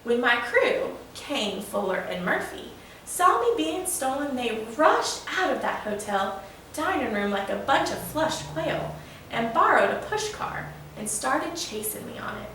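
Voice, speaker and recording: A proud, folksy old steam engine from a children's storybook, played by a woman, eagerly spins a tale. The delivery is animated and fairly high-pitched. It sounds distant in a very reverberant room. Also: unhurried, exaggerated voice. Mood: folksy, recounting. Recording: echoey room, distant mic, faint noise.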